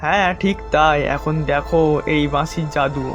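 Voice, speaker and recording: A man's voice: on the high side, moderate pace, fairly bright tone, natural voice. A man voicing a greedy, scheming villager in a cartoon fairy tale. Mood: gloating. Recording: music clearly behind the voice.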